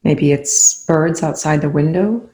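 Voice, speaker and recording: A calm, unhurried speaker leading a guided meditation in soothing spoken instructions with long pauses. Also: medium pitch, poor audio.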